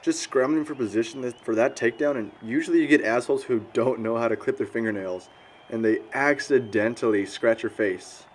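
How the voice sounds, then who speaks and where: A man's voice: medium pitch, a little fast, close to an everyday voice. A young American man acting a blunt, cynical, slangy wrestler in conversational film dialogue. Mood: casual. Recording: noticeable background ambient noise.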